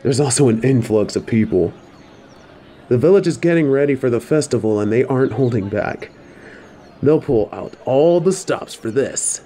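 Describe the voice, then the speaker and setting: A man's voice: mid-range voice, lively intonation, moderate pace, dark tone, a little hiss or hum, lightly acted. A man playing a friendly, easygoing wagon driver in an audio drama, casual and chatty. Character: easygoing, friendly male travel driver in ancient China. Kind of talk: fan audio drama acting.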